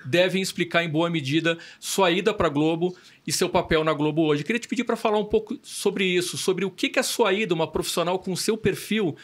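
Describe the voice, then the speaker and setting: A man's voice: sits high, a little fast, hardly any pauses. A man from São Paulo, a journalist co-hosting a podcast, asking long, articulate framing questions. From São Paulo state, Brazil. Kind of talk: conversational podcast interview.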